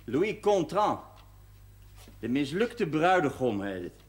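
Genial, bossy party-giving husband, 1970s TV drama, higher-pitched and very animated. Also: male voice, takes it slowly. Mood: busy, wry. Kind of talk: naturalistic 1970s television drama acting.

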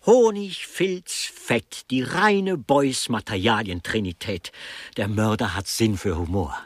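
An actor playing a sardonic, arty investigator in a radio crime play, savouring single words in a husky voice. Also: male voice, on the low side, lively intonation, slow pace, no room sound. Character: sardonic arty investigator relishing grotesque clues. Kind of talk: 1990s German radio play acting.

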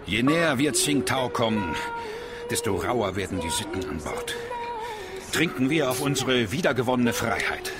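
Pompous Prussian imperial agent, animated delivery. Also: male voice, medium pitch, normal speed. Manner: declamatory, self-important. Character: pompous, boastful Prussian imperial agent, kaiser-worshipping man. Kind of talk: German radio drama acting. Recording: music behind the voice.

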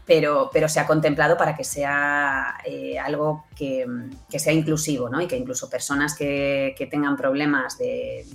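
A woman's voice: sits low, level tone, normal speed, creaky, faint music behind. A woman with an accent from Spain speaking as an interview guest, explaining conversationally and often checking with short tag questions. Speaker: interview guest, audio fiction producer.

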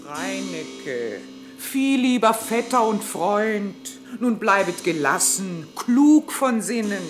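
A woman's voice: mid-range voice, sing-song, slow pace, soft background music. An actress playing a caring, fussy older female ape who gives coaxing, conspiratorial advice in a radio play. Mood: soothing.

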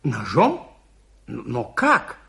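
Gentle priest-detective for radio drama: mid-pitched, husky, courteous, quietly inquisitive. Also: male voice, huge pitch swings, very slow, heavily acted. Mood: curious. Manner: polite, soft-spoken, hesitant, questioning. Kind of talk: 1980s Soviet radio play acting.

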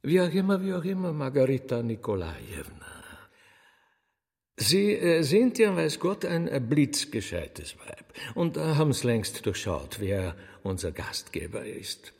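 Gravelly Austrian demon showman in a hall. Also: mid-range voice, big pitch swings, heavily acted. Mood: confiding, theatrical. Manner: rambling, chuckling, theatrically courteous, drawn-out words. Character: sly, chatty demon magician, former choirmaster, showman servant. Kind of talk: radio drama acting. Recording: long hall reverb.

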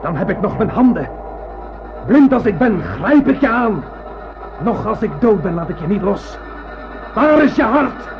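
An actor playing a blind, vengeful space captain who cries out in anguish and defiance in a radio drama. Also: male voice, sits high, big pitch swings, unhurried, pauses often, full character voice. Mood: raging, desperate. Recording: dull old radio recording. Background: music.

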